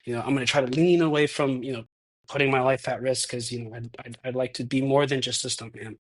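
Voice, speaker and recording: A man's voice: mid-range voice, fairly even intonation, fast talker. A man of thirty-one, a stuntman guest in a casual interview, talking reflectively with many restarts. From United States. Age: 30s.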